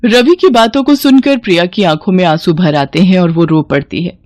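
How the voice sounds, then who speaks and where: A woman's voice: fairly low pitch, moderate pace, a little mellow, no room sound, clean studio sound. A woman giving scripted narration for a family moral story.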